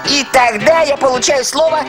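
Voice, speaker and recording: Woman doing an excitable, high little boy character. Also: moderate pace, natural voice. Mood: curious, thoughtful. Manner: hesitant fillers, exclamatory, boyish. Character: good-natured little boy creature, curious and excitable. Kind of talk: children's educational show character acting. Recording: music under the voice.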